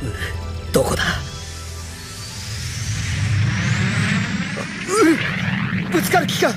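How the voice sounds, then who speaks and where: A man's voice: extremely high pitch, wildly swooping pitch, moderate pace, loud music behind the voice, exaggerated voice. An actor playing an intense young male mecha pilot, dramatic and urgent, in an anime radio drama. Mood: strained, alarmed.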